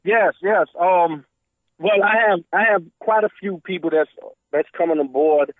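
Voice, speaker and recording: A man raised in Miami, a film writer and producer calling in as a guest, talking casually in a halting way, repeating words and chuckling. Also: sits high, dry recording. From born in Brooklyn, raised in Miami. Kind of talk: casual radio phone interview. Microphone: phone. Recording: tinny phone line, dry.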